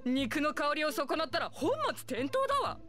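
A woman playing a proud, feisty village meat chef in an anime-style game, brisk and self-assured. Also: sits high, sing-song, a bit bright, faint music behind. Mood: disapproving.

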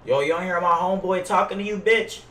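A man's voice: sits high, fast, a bit bright, reverberant, distant mic, slight background noise. A young American man live-streaming a roleplay game on a headset, with brash, slangy, profane trash talk. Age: young adult. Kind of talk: casual gaming banter with slang and profanity. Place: indoor gaming setup. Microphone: gaming headset.

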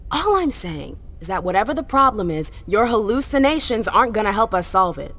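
An actress playing a defiant, sharp-tongued vampire socialite in a video game, casual and sarcastic in manner. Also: female voice, mid-range voice, big pitch swings, a little fast. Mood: scornful. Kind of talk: dramatic game cutscene acting.

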